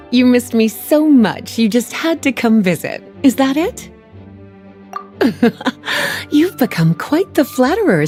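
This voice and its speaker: A woman playing a teasing, languid librarian mage who speaks in an unhurried, coy manner in game cutscene dialogue. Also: high voice, a little mellow.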